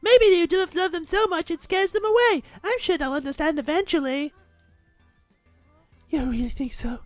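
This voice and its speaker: A woman's voice: extremely high pitch, normal speed, no echo, faint music behind. A woman voicing an earnest anime schoolgirl in a visual novel game. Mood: earnest, wistful. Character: earnest high-voiced anime schoolgirl who loves animals.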